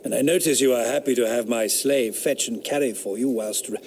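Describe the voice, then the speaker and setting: An actor playing a sardonic, rationalist eighteenth-century philosopher in a radio drama, speaking in a declamatory, scornful manner. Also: male voice, medium pitch, fairly quick, darkish tone, a little hiss or hum, natural voice. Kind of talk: period radio drama acting.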